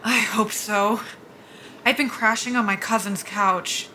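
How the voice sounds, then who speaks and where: A woman's voice: mid-range voice, steady tone, slow pace, pushed and bright, a little hiss or hum, poor audio. An actress playing a wry, down-on-her-luck young military pilot in a naturalistic audio drama. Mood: wry, weary.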